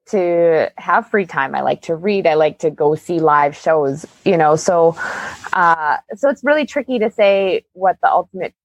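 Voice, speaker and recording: A woman's voice: fairly low pitch, expressive, unhurried, dry recording, lo-fi sound. A woman with a Canadian accent chatting casually and telling personal stories as an interview guest. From Calgary, Canada. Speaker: guest, magician's assistant and performer. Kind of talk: casual interview chat, storytelling.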